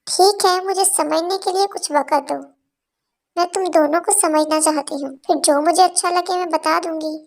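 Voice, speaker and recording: A woman's voice: very high voice, steady tone, moderate pace, pitched above the performer's own voice. A woman voicing a young college woman in a cartoon moral story, sweet but blunt and practical. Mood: impressed.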